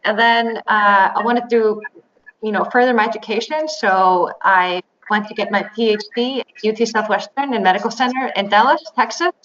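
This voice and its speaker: A woman, answering interview questions in English with many pauses, fillers and restarts. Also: mid-range voice, a bit bright. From Iceland. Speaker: interview guest, biotech executive. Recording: slightly dull, faint noise.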